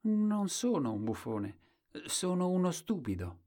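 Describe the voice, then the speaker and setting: Storybook court fool, acted by a man, raised pitch, meek, candid, eager, simple earnest manner. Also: big pitch swings, measured pace, mellow, full character voice.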